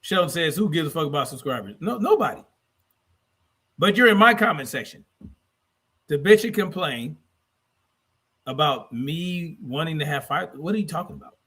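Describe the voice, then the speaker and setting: A man's voice: on the high side, lively intonation, normal speed, long pauses, sharp tone, breathy edge. A man hosting a livestream in a combative, blunt, profane way, repeating phrases for emphasis as he reacts to clips and chat. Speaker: livestream host.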